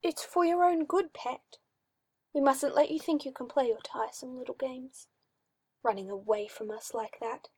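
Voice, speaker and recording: A woman's voice: sits high, fairly even intonation, darkish tone, husky, dry recording. A cruel, possessive male magister, as a woman reader puts him on, croons with sly mock tenderness and stretched-out words. The sound is dry and close. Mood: cooing menace. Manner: cooing, drawn-out, mock-tender.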